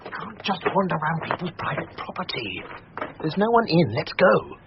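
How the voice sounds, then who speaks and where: Earnest, fussy son-in-law, animated pitch. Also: male voice, normal speed, dull audio, very noisy. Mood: anxious, exasperated. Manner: earnest therapy-speak, fussy and hesitant. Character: earnest, anxious, touchy-feely son-in-law. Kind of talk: radio drama dialogue.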